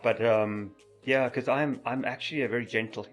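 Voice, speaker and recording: A middle-aged man with a stutter who repeats words, talking softly and openly as a podcast guest. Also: medium pitch, fairly even intonation, moderate pace, faint music behind. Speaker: podcast guest, mountain biker. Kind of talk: casual interview chat.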